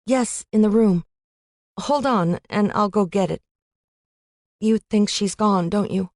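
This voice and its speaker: An anxious young college girl, pleading and raised in pitch over her normally low voice, as a woman narrator puts her on. Close, dry audiobook sound. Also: takes it slowly, darkish tone, dry recording. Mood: worried. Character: worried young female college roommate.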